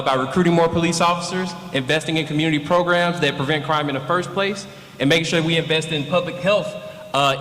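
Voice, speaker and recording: Brief: young male candidate, rapid and pressed, roomy echo, faint hall noise. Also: fairly high pitch, bright, forceful tone. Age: young adult. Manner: rapid, listing policy points. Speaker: mayoral candidate. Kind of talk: campaign speech at a panel. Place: large indoor event hall.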